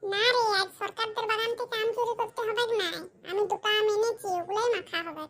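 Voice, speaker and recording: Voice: very high-pitched, fairly quick, fairly bright tone. A performer voicing a cheeky, boastful schoolchild in a comedy cartoon, talking in a cocky, argumentative, colloquial way. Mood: smug, boastful. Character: cheeky, boastful Bengali schoolchild, dim but sly.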